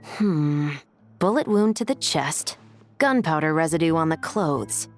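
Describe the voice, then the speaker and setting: A steady, no-nonsense female officer with a lowish voice. She speaks tersely and evenly, staying composed. Soft game music plays underneath. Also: measured pace. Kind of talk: video game voice acting.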